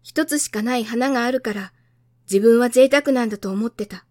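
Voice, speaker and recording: Naive little storybook prince played by a woman, high childlike boy voice, wistful, dry sound. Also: moderate pace, a little mellow, dry recording, lightly acted. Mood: dejected.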